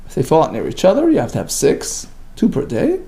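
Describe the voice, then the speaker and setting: Lively, gravelly man teaching Talmud. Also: on the high side, a little fast. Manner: reads Hebrew and Aramaic phrases then translates, lively and emphatic. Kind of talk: daily page lesson, reading and explaining.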